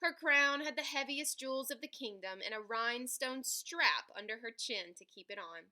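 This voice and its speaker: A woman reading a children's picture book aloud in an animated, dramatic way, stretching key words for emphasis. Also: on the high side, moderate pace. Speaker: read-aloud storyteller.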